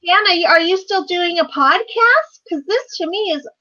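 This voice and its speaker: A woman's voice: very high-pitched, lively intonation, normal speed, pushed and bright. A woman chatting casually as a guest on a live video call. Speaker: guest co-panelist in a live chat. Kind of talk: casual chat. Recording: slightly dull, lo-fi call audio.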